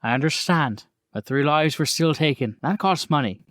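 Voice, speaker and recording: A man playing a gruff, foul-mouthed mob boss with a blunt, commanding, bargaining manner in a tabletop game, over faint music. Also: fairly high pitch, animated, moderate pace, fairly bright tone, no room sound. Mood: firm, commanding.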